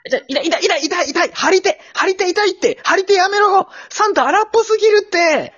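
A man playing a flustered, sceptical father as the straight man in an amateur comedy radio drama, quick to protest. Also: extremely high pitch, fairly bright tone, highs cut off. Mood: exasperated.

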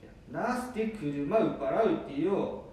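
A man's voice: high-pitched, expressive, unhurried, darkish tone. A man playing a proud, stubborn elderly grandfather with a Nambu dialect from northeastern Japan in an amateur radio drama. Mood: indignant. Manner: defiant, blustering, insistent. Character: stubborn, proud elderly grandfather who refuses to stop driving. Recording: very echoey room, distant mic.

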